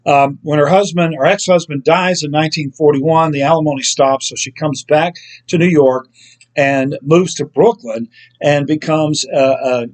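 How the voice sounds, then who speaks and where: A man with a slightly higher-than-average voice hosts a book review, explaining an author's background in a loose, unscripted way. He fills his pauses with ums and uhs and often stops to correct himself. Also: low-quality recording. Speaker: book reviewer, show host. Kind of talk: casual spoken book review.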